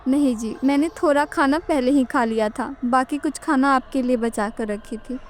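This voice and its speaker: High-pitched, worried village wife, played by a woman. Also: moderate pace, slight background noise, close to an everyday voice. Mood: caring. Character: poor farmer's young wife, worried, self-sacrificing.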